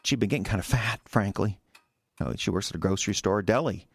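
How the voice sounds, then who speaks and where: An actor in an audio drama playing a resentful, defensive husband who stammers and rambles in a halting, wounded way. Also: male voice, fairly low pitch, vocal fry, slightly rough, dry recording. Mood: bitter, agitated. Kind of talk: naturalistic audio drama acting.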